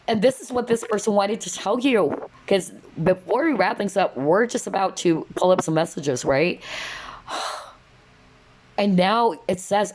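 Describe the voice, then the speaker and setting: A woman's voice: mid-range voice, a little mellow. A woman giving a casual tarot reading to viewers, chatty and full of asides, her voice slightly nasal as she loses it. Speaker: tarot reader.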